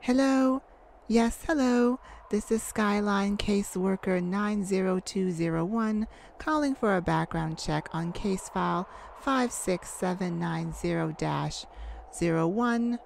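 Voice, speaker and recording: A woman's voice: medium pitch, measured pace, gravelly, darkish tone, slight background noise. A woman voicing a courteous, easily flustered, ageless celestial afterlife caseworker in an ASMR roleplay, hesitant and apologetic. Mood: businesslike. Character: polite, flustered, thousands-year-old celestial afterlife caseworker.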